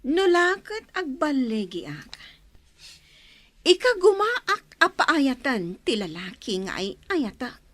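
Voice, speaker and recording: High, swooping scheming young woman played by an actress. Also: sing-song, fairly bright tone, no room sound, exaggerated voice. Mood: defiant, yearning. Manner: melodramatic, drawn-out delivery. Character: scheming, lovesick young woman set on stealing a man. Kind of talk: Ilocano radio drama acting.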